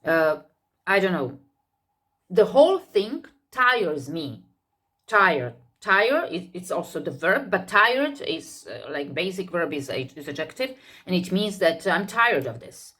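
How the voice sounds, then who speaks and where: A woman with a somewhat low voice explains points of English in a relaxed, unscripted lesson. She talks thoughtfully, often pausing to find the right word and circling back over a phrase before moving on. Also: slightly rough. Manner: thoughtful, searching for words, repeats herself. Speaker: English teacher.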